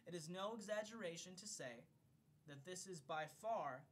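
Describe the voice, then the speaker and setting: A man's voice: lively intonation, normal speed, fairly bright tone. A slightly drunk man reading an economics text aloud in an amateur way, declamatory on the page and loose and profane in casual asides. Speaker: amateur reader of a bedtime recording for a friend. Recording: homemade recording with low rumbling noise.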